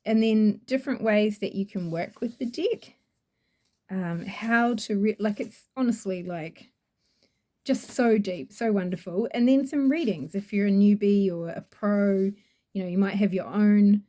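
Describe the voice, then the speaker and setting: A woman with a New Zealand accent chats through a product review, gushing and rambling with plenty of fillers. Her voice is mellow and warm, at a middling pitch, recorded close to the mic. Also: measured pace. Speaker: oracle deck reviewer.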